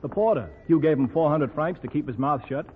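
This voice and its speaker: A man's voice: fairly high pitch, brisk, lightly acted. An actor playing a tough but genial policeman in a 1940s radio drama. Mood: tough, businesslike. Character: tough, genial cop escorting his captured prisoner. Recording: muffled, noisy vintage radio recording.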